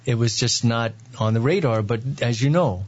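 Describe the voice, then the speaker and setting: A man with a New York accent, a priest, telling his story in an interview with many pauses and fillers. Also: medium pitch, darkish tone, dull audio. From Long Island, New York. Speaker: priest, guest.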